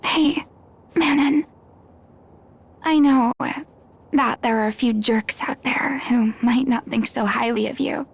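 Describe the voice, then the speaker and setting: A woman's voice: a little high, fairly even intonation, slow pace, vocal fry, pauses often, breathy edge, exaggerated voice. A woman voicing a caring, impassioned girl, a loyal best friend, in an earnest and emotional video game cutscene. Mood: tender, comforting. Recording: background music under the voice.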